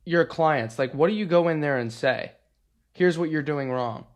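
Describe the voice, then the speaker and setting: A man's voice: fairly high pitch, normal speed. A man in his twenties co-hosting a podcast, laying out his points confidently one by one. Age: 20s. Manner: confident, lays out points as a list. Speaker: podcast co-host and consultant. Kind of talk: casual podcast conversation.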